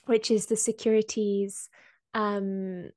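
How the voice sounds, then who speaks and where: A woman with a slight cold, explaining finance topics in a casual podcast chat with many pauses and ums. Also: medium pitch, little pitch movement, a little mellow, no room sound, clean studio sound. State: has a cold. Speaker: co-host explaining crypto.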